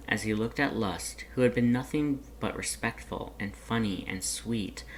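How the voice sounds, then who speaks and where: A narrator reading scripted fan fiction aloud in an expressive, dramatic way. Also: medium pitch, a little hiss or hum, low-quality recording.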